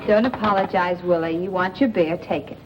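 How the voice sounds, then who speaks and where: Actress as a sardonic, flirty 1940s radio dame. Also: female voice, lowish voice, a little fast, noisy background, close to an everyday voice. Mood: dismissive. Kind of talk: 1940s radio thriller acting. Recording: dull old radio recording, loud music.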